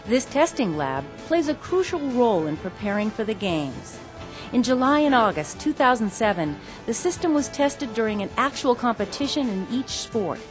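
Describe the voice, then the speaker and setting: Brief: female news reporter, animated pitch, clear measured voice-over, music underneath. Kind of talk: scripted news narration.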